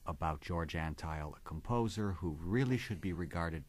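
A man hosting a radio music program, interviewing a composer and listening attentively with soft affirmations. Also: deep voice, moderate pace. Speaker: radio host.

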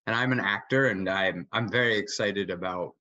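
Bright, dry-sounding young man on a call. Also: on the low side, moderate pace, no echo. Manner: eager, long winding question. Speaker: actor asking a question. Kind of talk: audience question on a video call. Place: home kitchen. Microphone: video call. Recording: dull, dry video-call audio.

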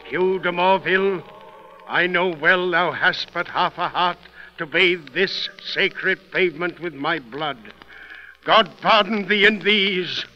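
An actor playing a defiant, devout archbishop in grand, archaic 1930s radio drama declamation. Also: male voice, sits high, takes it slowly. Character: defiant, devout archbishop facing his murderers. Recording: muffled, noisy 1930s radio recording.

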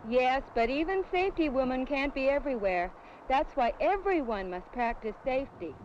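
An actress playing a reassuring superheroine who teaches children safety in an earnest, measured, didactic way in a 1970s educational film. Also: female voice, high-pitched, animated. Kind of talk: scripted 1970s educational film acting and narration. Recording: muffled, noisy 1970s educational film soundtrack.

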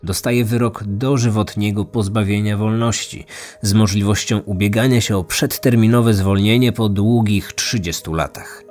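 Man narrating true crime, low-pitched voice. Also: steady tone, normal speed, a little mellow, faint music behind. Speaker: podcast host and narrator.

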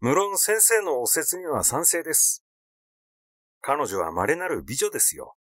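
A flippant young gossip, as a male reader plays him, teasing and sardonic in storybook dialogue. His pitch swings about freely, and the sound is dry. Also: big pitch swings, a little slow, a bit bright, no room sound. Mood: amused, glib. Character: flippant, gossiping young man, mocking and glib. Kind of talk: acted dialogue in an audiobook.

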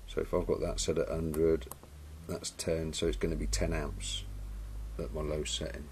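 A man talks through a welding demonstration in a workshop, casual and matter-of-fact, calling people "mate". His voice sits very low with a rough, gravelly edge. The camera mic picks up clear workshop noise behind him. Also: monotone, moderate pace, darkish tone. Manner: chatty, matter-of-fact, says "mate" and "cheers". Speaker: welding instructor. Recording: noisy workshop camera audio.

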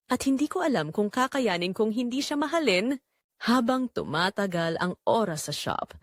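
A woman reading a scripted first-person dramatic story in an emotive, measured storytelling manner. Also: lowish voice, no echo, studio quality. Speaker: narrator.

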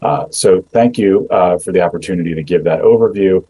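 A middle-aged man speaks as a government official over a video call. His voice sits low and mellow with a husky edge. He talks in a measured, halting way, full of ums and restarts. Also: low-quality recording. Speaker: immigration agency official. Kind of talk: prepared remarks and Q&A answers. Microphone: video call webcam.

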